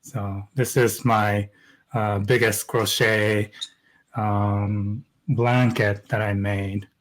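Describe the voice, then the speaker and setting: A middle-aged man from Japan, a knitwear designer speaking softly and carefully as a guest on a video call interview. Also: on the low side, flat delivery, very slow.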